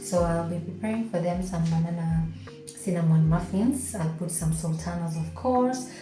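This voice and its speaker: A woman and mother vlogging at home in the morning, talking casually through her kitchen with a croaky, deep, just-woken voice. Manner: relaxed, chatty, explains as she goes. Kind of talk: casual vlog narration. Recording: roomy echo with faint background music.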